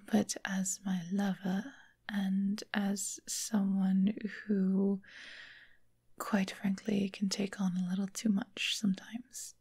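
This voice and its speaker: A woman voicing a refined, affectionate vampire mistress in a soft, breathy ASMR roleplay whisper, gentle and soothing. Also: mid-range voice. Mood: coaxing, soothing. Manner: gentle, unhurried, genteel, affectionate endearments. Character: caring, refined female vampire mistress, long-lived doting lover.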